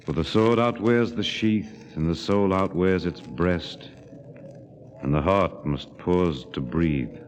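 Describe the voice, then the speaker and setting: An actor playing a brooding, poetry-loving astronaut, with a reverent, measured delivery in 1950s radio drama style. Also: male voice, a bass voice, darkish tone. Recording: old radio recording, faint noise.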